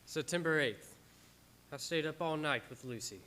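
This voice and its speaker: A man plays an earnest, devoted doctor in a radio horror drama. His voice is a little high for a man. He delivers his account with solemn, dramatic weight. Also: moderate pace, music in the background, natural voice. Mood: solemn, haunted. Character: earnest, devoted, anguished male doctor narrating his journal.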